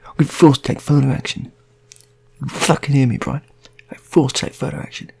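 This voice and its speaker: A man voicing an exasperated unarmed hostage who scolds and pleads in a halting, repetitive, fatherly way, in an improvised story. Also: medium pitch, level tone, vocal fry, a little mellow, breathy edge, voice pushed up. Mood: angry warning.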